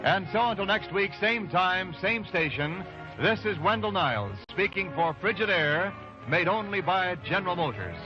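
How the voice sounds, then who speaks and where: A man's voice: high-pitched, expressive, normal speed. A man announcing scripted credits in the style of 1940s network radio. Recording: muffled 1940s radio recording, loud music.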